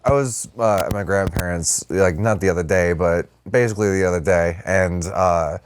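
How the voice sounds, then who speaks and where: A man's voice: sits low, normal speed, smooth, dry recording. A man co-hosting a podcast, riffing in casual, irreverent, sweary banter. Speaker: podcast co-host.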